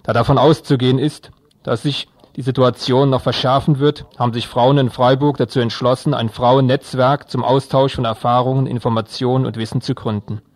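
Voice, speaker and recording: A man's voice: medium pitch, little pitch movement, fairly quick, no echo. Dry-sounding man reading radio news. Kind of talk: scripted news introduction, read out.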